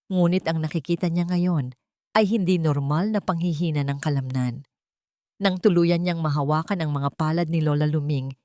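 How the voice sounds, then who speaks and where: A woman with a low voice narrates a dramatic audio story. Her delivery is measured and solemn, and the recording is dry and close. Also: darkish tone, dry recording.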